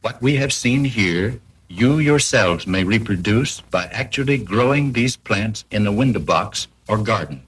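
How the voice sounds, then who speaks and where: Husky-voiced 1940s educational film narrator, male. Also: lowish voice, animated, unhurried, hardly any pauses, a bit bright, rough recording. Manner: formal, didactic, carefully explanatory. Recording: old 1940s film soundtrack.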